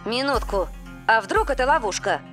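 Sensible, high-voiced cartoon boy. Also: expressive, moderate pace, a bit bright, soft background music. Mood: wary. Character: sensible, wary boy robot pilot. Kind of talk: animated cartoon dub.

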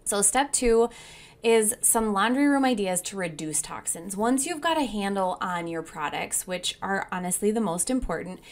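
A woman hosting a home wellness video, reading a steady, informative script as a voice-over and recommending products she uses. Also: mid-range voice, normal speed. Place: not seen, voice-over over slides.

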